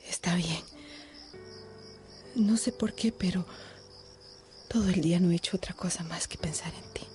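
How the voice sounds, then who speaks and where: An actress playing a hesitant woman falling in love, soft and breathy, in a romantic radio drama. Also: on the low side, moderate pace, close to an everyday voice. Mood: tender, torn.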